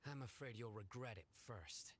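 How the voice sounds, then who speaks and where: An actor playing a stern, composed commanding officer in a video game, serious and terse. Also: male voice, mid-range voice, lively intonation, normal speed, a little hiss or hum, close to an everyday voice. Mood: cold threat.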